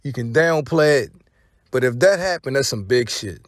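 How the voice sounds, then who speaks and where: A man's voice: medium pitch, expressive, moderate pace, no room sound, studio quality. A man hosting an online show, giving informal, opinionated hip-hop commentary in slangy, profane, emphatic phrases with repetition.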